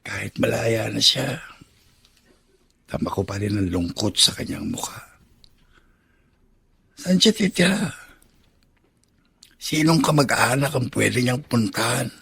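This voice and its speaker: A man's voice: medium pitch, lively intonation, slow pace, vocal fry, long pauses, a bit bright, husky, dry recording, heavily acted. A male actor playing a wistful, compassionate prisoner in a radio drama monologue, theatrical and emotive, with a Filipino accent. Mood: wistful, worried.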